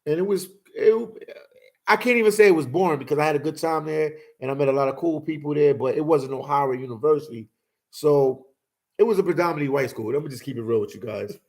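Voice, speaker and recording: A man's voice: fairly high pitch, quick pace, creaky, darkish tone. A man in his forties hosting a casual livestream chat, telling stories in a laid-back way and dropping "man" and "you know" often. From Philadelphia. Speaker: host.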